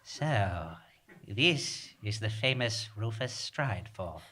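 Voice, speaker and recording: An actor playing a calm, menacing little homunculus who leads bandits in a comic radio play. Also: mid-range voice, takes it slowly. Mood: coolly menacing. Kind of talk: comic stage radio play before an audience.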